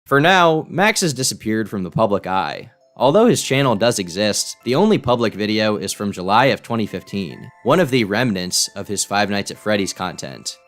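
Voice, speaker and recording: A man's voice: fairly low pitch, sing-song, moderate pace, hardly any pauses, fairly bright tone, soft background music. Blunt, sardonic man narrating. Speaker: YouTube commentator. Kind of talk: scripted documentary narration.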